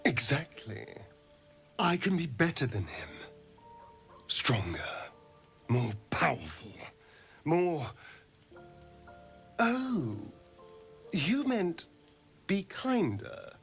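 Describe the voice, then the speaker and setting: An actor playing a flamboyant, sardonic, power-hungry vampire in a video game, with a teasing, drawn-out delivery. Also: male voice, a little high, sing-song, fairly bright tone, faint music behind, full character voice. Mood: gleeful, ambitious. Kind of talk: dramatic video game acting.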